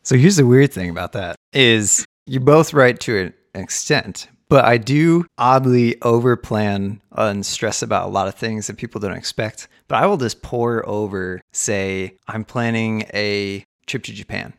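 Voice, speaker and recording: A man's voice: lowish voice, unhurried, few pauses, no echo, clean studio sound. A man talking things through reflectively as a podcast co-host, laughing now and then. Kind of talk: casual podcast chat.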